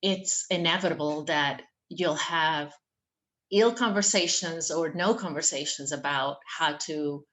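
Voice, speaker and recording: A woman's voice: low-pitched, unhurried, fairly bright tone. A woman from Venezuela, a church formation director, speaking reflectively on an online panel. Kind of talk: panel discussion. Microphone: video call.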